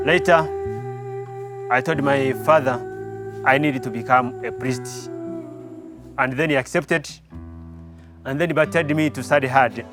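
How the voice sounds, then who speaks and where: A young man from Tanzania, a seminarian recounting his calling in a slow, reflective way with pauses. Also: mid-range voice. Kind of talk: personal vocation testimony. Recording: guitar music playing behind.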